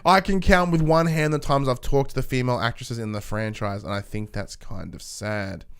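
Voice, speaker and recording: Clear-voiced man hosting a casual podcast. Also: mid-range voice, normal speed, fairly bright tone. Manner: blunt, sweary, opinionated. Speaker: podcast host. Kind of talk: casual movie podcast chat, reading out news items.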